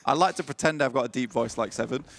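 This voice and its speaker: A man doing color commentary on a live esports match, relaxed and self-deprecating, mixing analysis with jokes. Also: mid-range voice, fast talker, gravelly. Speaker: esports co-caster.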